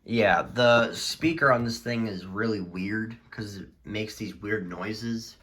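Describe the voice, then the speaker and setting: A man talking casually in a halting, rambling way as he presents his collection in a vlog. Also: on the low side, a bit bright. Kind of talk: casual show-and-tell vlog.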